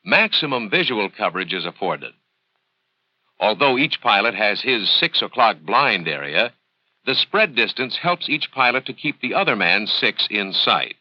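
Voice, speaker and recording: Deep American narrator, dull vintage film sound. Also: male voice, sing-song, a little slow, dry recording. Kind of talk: formal scripted instructional narration. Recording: dull, dry 1970s training film soundtrack.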